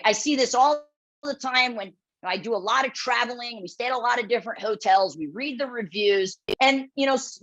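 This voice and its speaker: A woman with a loud voice presenting a webinar, blunt and direct, often checking in with the audience as she coaches. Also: on the high side. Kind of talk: instructional webinar talk. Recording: dull lo-fi webinar audio.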